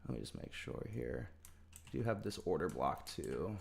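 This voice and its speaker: A young man with a lowish voice chats loosely on a livestream, greeting viewers and talking through his thoughts with lots of ums. His speech is slightly altered by teeth whitening strips. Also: very animated, moderate pace, gravelly, a little hiss or hum. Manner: chatty, greets viewers by name, thinks aloud with fillers. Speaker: trading livestreamer. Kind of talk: casual livestream commentary.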